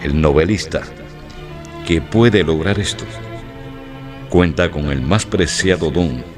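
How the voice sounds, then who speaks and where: An actor playing an appreciative, measured man of letters in a radio drama. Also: rumbling low, music in the background. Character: appreciative, measured literary man praising a novelist.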